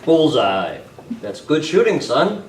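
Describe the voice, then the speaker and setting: A man's voice: a little high, sing-song, slow pace. Guy doing folksy dad-like cowboy hero, swoopy delivery, far from the mic, echoey. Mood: fatherly. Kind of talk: amateur old-time radio western acting. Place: club meeting room, far camera. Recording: roomy, distant camera sound with hiss.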